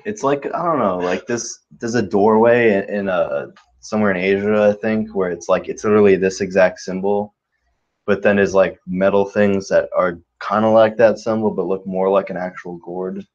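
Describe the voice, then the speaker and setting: A man's voice: low voice, steady tone, normal speed, darkish tone. A young man chatting casually as a player, rambling and unsure, full of "like". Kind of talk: casual chat. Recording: dull lo-fi sound.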